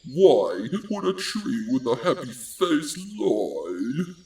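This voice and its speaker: A man voicing a sly, riddling talking tree in an amateur audio drama, speaking in a drawn-out, ponderous way. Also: mid-range voice, very animated. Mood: puzzled challenge. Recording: roomy, distant, faintly noisy microphone.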